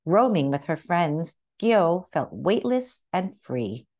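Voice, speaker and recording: A woman reading a children's picture book aloud as narrator, with expressive, carefully phrased storytelling. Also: on the low side, slow.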